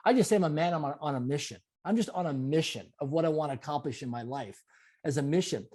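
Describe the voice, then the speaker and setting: A man's voice: a little high, fast, warm tone. A man in his late forties from Canada, a guest giving an interview, talking in emphatic, repetitive motivational phrases. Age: 40s. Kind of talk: conversational interview.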